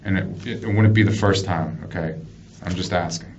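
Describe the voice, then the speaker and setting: Low-voiced American male detective, muffled noisy recording. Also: normal speed. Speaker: police detective conducting an interrogation. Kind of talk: investigative interview. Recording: muffled, noisy police interview room recording.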